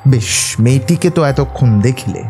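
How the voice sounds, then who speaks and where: Authoritative male detective, played by an actor, mellow voice. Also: mid-range voice, lively intonation, faint music behind, lightly acted. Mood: musing, instructive. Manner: measured, authoritative, didactic. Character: confident, analytical male detective, coolly instructive. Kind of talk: audio drama acting.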